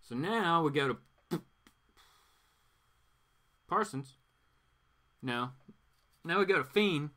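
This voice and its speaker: A man with a bright, fairly high voice hosts a casual story reading. His pitch moves around a lot as he narrates and drops in slangy, wry asides. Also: lively intonation, takes it slowly, pauses often. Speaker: host reading comic books aloud. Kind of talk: casual dramatic reading with commentary.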